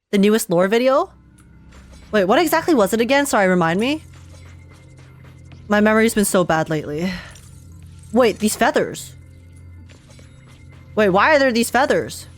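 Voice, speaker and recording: A woman giving casual live reaction commentary on a game, giggly and rambling as she thinks out loud. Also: a little high, animated, moderate pace, pauses often, soft background music. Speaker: streamer reacting to a game story.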